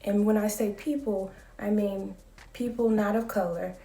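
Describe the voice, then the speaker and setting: A woman in her late thirties, a school psychologist, talking in a soft, calm and measured way in a casual vlog. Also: medium pitch, roomy sound, distant mic. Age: 30s.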